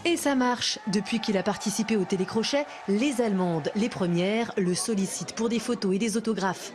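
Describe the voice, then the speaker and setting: A woman presenting a TV segment, narrating a report and then explaining it with lively energy on set. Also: mid-range voice, moderate pace, over music. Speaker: TV chronicler. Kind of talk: report voice-over and studio chat.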